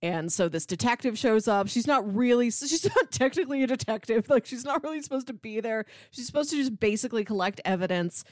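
A woman's voice: a little high, expressive, fairly quick, hardly any pauses, no echo, clean studio sound. A woman co-hosting a podcast, reviewing television in a casual, explanatory conversational way. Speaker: podcast co-host.

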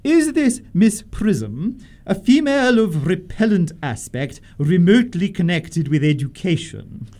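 An imperious older noblewoman in a comic radio play. Her delivery is grandly emphatic and snobbish, with sweeping rises and falls in pitch. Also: sing-song, measured pace, hardly any pauses, warm tone. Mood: haughty, suspicious. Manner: haughty, commanding, grandly emphatic. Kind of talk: stage comedy radio acting.

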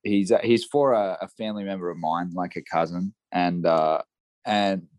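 A man's voice: low-pitched, normal speed, a little mellow, no room sound. A young Australian man chatting casually on a podcast, telling rambling stories full of restarts and fillers. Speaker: guest horseman.

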